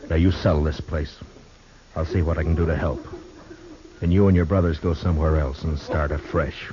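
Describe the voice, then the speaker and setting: Casting: American frontier lawman, extremely deep voice, grave, firm but kind, muffled vintage radio sound. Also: fairly even intonation, crowd noise. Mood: gently urging. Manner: sober, halting, plain-spoken. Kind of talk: 1950s radio western acting and narration. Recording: muffled 1950s radio recording.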